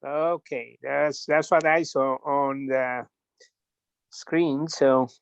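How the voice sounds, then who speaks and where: A man's voice: medium pitch, fairly even intonation, very slow, gravelly, clear, resonant tone. A man politely introducing himself on a video call, a little tentative. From Ethiopia. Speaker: meeting participant. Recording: dull video call audio.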